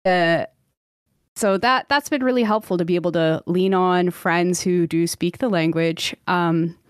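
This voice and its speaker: A woman's voice: on the low side, steady tone, a little slow, smooth, no room sound, clean studio sound. A woman who sings opera, chatting in an interview and filling her talk with "like" and "um". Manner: chatty, lots of "like" and "um", laughs easily. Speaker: opera singer guest. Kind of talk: casual interview chat.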